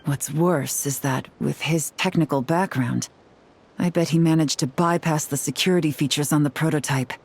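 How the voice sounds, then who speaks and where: A woman playing a shrewd, composed law enforcement agent in a video game, speaking in a knowing, deliberate way. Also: deep voice, darkish tone, slightly rough. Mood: shrewd, wary.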